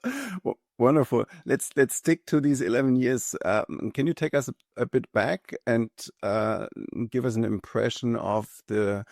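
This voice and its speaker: A man hosting a podcast interview, reading a lively intro and asking questions in a softer, hesitant way. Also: mid-range voice, measured pace, no echo, clean studio sound. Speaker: podcast host.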